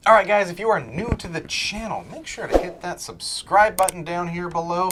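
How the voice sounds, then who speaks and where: A man's voice: sits high, normal speed, loud music behind the voice. A man co-hosting a video channel in casual chat, with joking, emphatic remarks. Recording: noisy recording with clicks.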